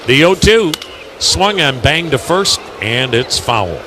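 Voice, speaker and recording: A man calls a baseball game live for radio in a fairly high voice. He mixes pitch-by-pitch commentary with asides, over outdoor stadium ambience. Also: big pitch swings, slow pace, noisy background. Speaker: baseball play-by-play radio announcer.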